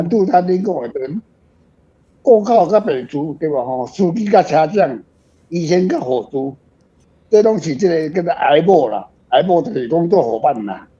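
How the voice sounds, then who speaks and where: A man's voice: a little high, warm tone, husky. An older man with a Taiwanese accent talking casually and reflectively as an author, often adding tag questions. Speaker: author, guest. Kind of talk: casual reflective talk on own writing. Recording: muffled, slightly dull sound.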